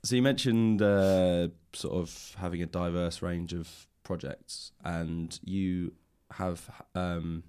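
A man's voice: sits low, fairly even intonation, slow, few pauses, dark tone, smooth. A man hosting a podcast interview, talking in a casual, conversational style as he chats and puts questions to a guest. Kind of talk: casual chat. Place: library room.

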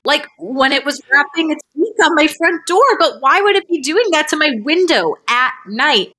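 A woman reading a story aloud as a podcast host, informal and expressive, ready to step out of the narration for asides. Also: high voice, moderate pace. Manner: expressive, breaks character, giggly slips and asides.

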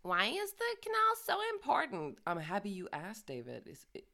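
A woman's voice: medium pitch, huge pitch swings, moderate pace, creaky, noisy background. A woman co-hosting a podcast, reading news casually, stumbling over words, swearing and laughing often. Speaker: podcast co-host.

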